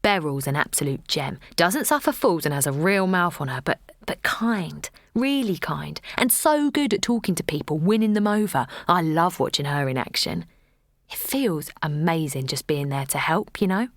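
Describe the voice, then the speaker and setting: A woman's voice: sits low, expressive, normal speed, no echo, clean studio sound. An actress playing Linda, a chatty, bubbly young mum who narrates her campaign in an audio drama. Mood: warm. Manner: chatty, bubbly, laughing often. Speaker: narrator and lead character.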